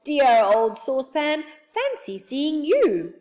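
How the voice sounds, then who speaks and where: A woman's voice: a little high, big pitch swings, normal speed. A woman voicing an eccentric, fond, blustering male friend in a children's storybook, calling out emphatically. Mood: delighted. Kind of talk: children's storybook character reading.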